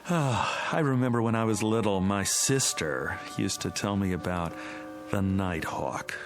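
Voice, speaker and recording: A man's voice: lowish voice, unhurried, soft background music. An actor playing a weary, fond but exasperated suburban father in a naturalistic radio drama style. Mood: musing.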